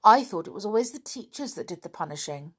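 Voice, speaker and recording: A woman reading aloud and voicing a defiant, rude little schoolgirl who answers sulkily and shouts. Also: medium pitch, expressive, fast, husky. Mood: puzzled. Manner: sulky and shouting.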